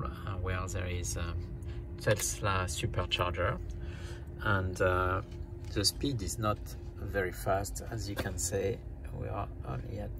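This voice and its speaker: A man narrating a casual travel vlog in a hesitant, halting way, often repeating words. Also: deep voice, noisy background. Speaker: vlogger.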